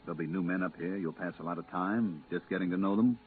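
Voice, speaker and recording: An actor playing a stern, weary moon-base pilot with a clipped, authoritative manner, in a 1950s radio drama. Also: male voice, low voice, fast, lightly acted. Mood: matter-of-fact. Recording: tinny, hissy 1950s radio recording.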